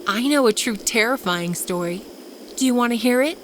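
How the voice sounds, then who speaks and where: A woman's voice: a little high, lively intonation, normal speed, a bit bright, a little hiss or hum, voice pushed up, low-quality recording. Woman voicing a coolly menacing, taunting young woman. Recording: music bed under the voice.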